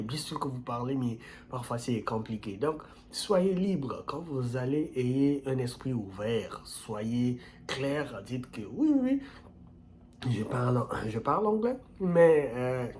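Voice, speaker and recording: A man talks straight to his viewers in an earnest, casual advice vlog. His voice sits in a middle pitch and leaps higher whenever he stresses a point, and he comes back to the same phrases again and again. A faint hum of ambience sits under his voice. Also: darkish tone. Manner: earnest and emphatic, repeats key phrases; pitch jumps up sharply on stressed words. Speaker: YouTube channel host.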